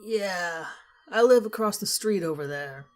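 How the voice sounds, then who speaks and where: A woman's voice: mid-range voice, expressive, unhurried, natural voice. A woman voicing a creepy, curt stranger, a man in his fifties, in a horror story reading. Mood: casual, probing. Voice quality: smoker's rasp as scripted. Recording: music behind the voice.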